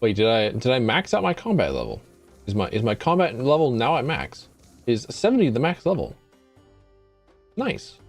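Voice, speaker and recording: A man's voice: medium pitch, huge pitch swings, normal speed, creaky, pauses often, faint music behind. A man streaming a video game, chatting casually in a rambling, wry way and often correcting himself. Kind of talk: casual gameplay commentary.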